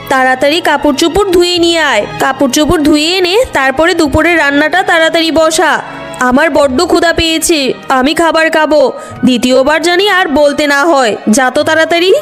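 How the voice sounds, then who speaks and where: A woman plays a harsh cartoon aunt. Her voice sits very high as she nags with a sharp tongue. Faint music runs underneath. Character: harsh, scolding aunt who bullies her orphan niece. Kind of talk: cartoon voice acting.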